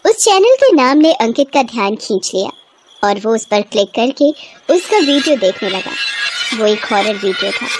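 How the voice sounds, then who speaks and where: A woman's voice: on the high side, sing-song, moderate pace. A woman storyteller reading a scripted horror bedtime story. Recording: clear background ambience noise.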